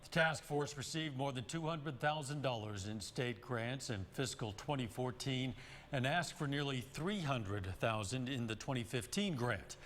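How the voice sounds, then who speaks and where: American guy anchoring tv news, normal pitch, scripted read, bit of noise behind him. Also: animated, normal speed, fairly bright tone. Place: TV news studio. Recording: director's cue chatter over studio audio, some noise.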